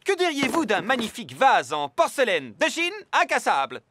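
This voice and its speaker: A man's voice: extremely high pitch, wildly swooping pitch, normal speed, heavy background noise, exaggerated voice. A man in a French cartoon dub voicing an upbeat male fox who sells with eager patter. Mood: eager, persuasive. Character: slick, upbeat male fox market salesman.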